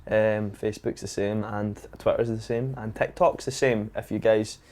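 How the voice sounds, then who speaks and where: A man's voice: on the low side, fairly even intonation, normal speed, a little mellow. A man chatting casually and keenly as a podcast guest. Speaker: podcast guest, singer-songwriter. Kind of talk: casual chat.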